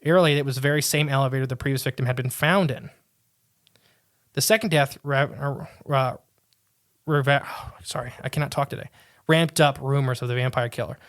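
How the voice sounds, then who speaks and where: A man's voice: mid-range voice, steady tone, brisk, a bit bright. A man co-hosting a podcast, reading a strange tale aloud with casual asides, often tripping over words and restarting. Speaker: podcast co-host.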